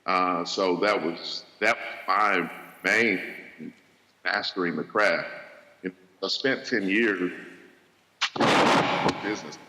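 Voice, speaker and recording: A man answering questions on a live panel, speaking in a halting, reflective way with pauses between phrases. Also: low-pitched, sharp tone. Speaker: entrepreneur panelist, chocolatier. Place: large event hall on stage. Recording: echoey hall with long reverb.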